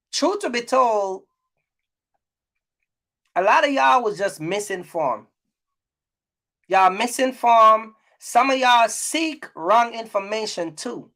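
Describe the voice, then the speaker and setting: A man with a distinctly high voice talks to his viewers as a vlog host. His delivery is casual and colloquial, full of opinion. He leans on repetition to press each point emphatically. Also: pushed and bright. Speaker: YouTube host, trucking business creator.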